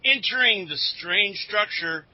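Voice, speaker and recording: A man's voice: high-pitched, expressive, takes it slowly, highs cut off, full character voice. A man playing a grandiose, wordy announcer narrating a mock radio adventure serial. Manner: pompous, wordy. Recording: faint background noise.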